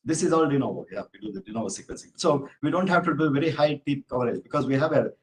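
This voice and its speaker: A man's voice: a little high, expressive, racing, low-quality recording. A man from India answering questions after a lecture, explaining each step with many "you know" and "uh" asides. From Bangalore, India. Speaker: invited speaker, genomics professor. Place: office hall with an audience.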